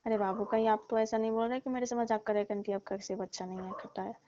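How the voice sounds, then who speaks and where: A woman's voice: fairly high pitch, level tone, quick pace, dark tone, lightly acted. A woman playing a chatty, defensive fruit-seller auntie in a comic phone call, talking colloquially and repeating herself. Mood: mildly protesting. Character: chatty, defensive middle-aged auntie who sells fruit. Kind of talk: staged comic phone conversation, casual chat. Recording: muffled lo-fi call recording with hiss.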